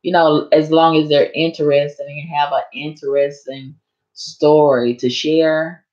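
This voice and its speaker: Woman live streamer, slow, rambling, hoarse, dull lo-fi audio. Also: low voice, little pitch movement, darkish tone. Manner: rambling, chatty, addresses viewers directly. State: hoarse from long talking. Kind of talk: casual live stream chat.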